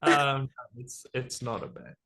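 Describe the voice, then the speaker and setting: A South African man with Afrikaans as his first language, hosting a relaxed, joking interview. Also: mid-range voice, slow pace, creaky, low-quality recording. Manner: relaxed, joking, swears casually. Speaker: interview host. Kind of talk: casual interview.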